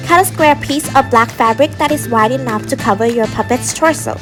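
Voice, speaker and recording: Young female tutorial narrator, high voice, scripted step-by-step delivery, music underneath. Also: fairly quick. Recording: music behind the voice.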